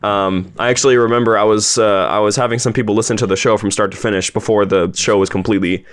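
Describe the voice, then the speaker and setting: Chatty man with a lowish voice. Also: steady tone, quick pace. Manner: enthusiastic, chatty. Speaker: host, voice actor and producer of an audio series. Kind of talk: casual discussion, interviewing a cast member.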